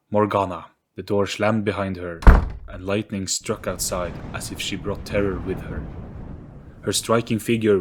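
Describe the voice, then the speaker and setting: A man narrates a dramatic audio story from a script. His voice sits low with a soft husk to it, and music plays clearly beneath the narration.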